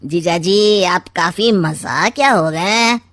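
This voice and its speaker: An actor as a sly, scheming middle-aged uncle. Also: male voice, high-pitched, slow pace, a bit bright, dry recording, full character voice. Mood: sarcastic. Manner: sly, taunting, rhyming quips. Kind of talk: Hindi cartoon story acting.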